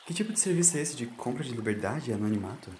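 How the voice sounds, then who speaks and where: A Brazilian man voicing a curious, sharp young woman companion who questions things, in an audio drama. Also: medium pitch, lively intonation, very fast, slight background noise, close to an everyday voice. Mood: puzzled.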